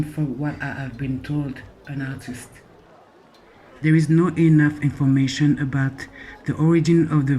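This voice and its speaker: A man from Congo, an artist and poet speaking slowly and emotionally about his experience. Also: a little high, mellow, husky, rough recording. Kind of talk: reflective personal account with poem reading.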